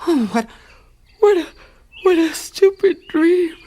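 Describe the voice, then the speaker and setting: An actress in a radio drama playing a dry, skeptical adventuring companion. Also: female voice, very high voice, expressive, slow pace, warm tone, slight background noise, heavily acted. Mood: alarmed.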